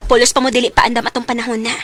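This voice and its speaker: Casting: earnest young student daughter, radio drama, high bright voice, emotive delivery. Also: female voice, little pitch movement, rapid-fire. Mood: curious, respectful. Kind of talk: Cebuano radio drama acting.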